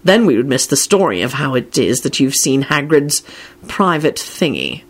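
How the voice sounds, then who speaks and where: A woman voicing a stern man of authority from a story, speaking deliberately. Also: a bass voice, big pitch swings, slightly rough, pitched below the performer's own voice, full character voice. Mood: stern, dry. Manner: stern, drawn-out.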